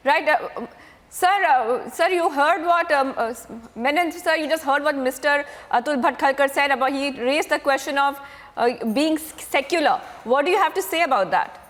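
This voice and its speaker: A woman's voice: sits high, normal speed, fairly bright tone, in a large hall. A woman with an Indian accent moderating a live news debate as anchor, hesitant and deferential as she passes questions between guests. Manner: hesitant, filler-heavy, deferential address.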